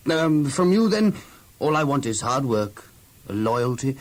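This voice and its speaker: A man's voice: medium pitch, very animated, unhurried. A man playing a stern but reasonable Egyptian captain, questioning with authority in an audio Bible drama. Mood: commanding, curious.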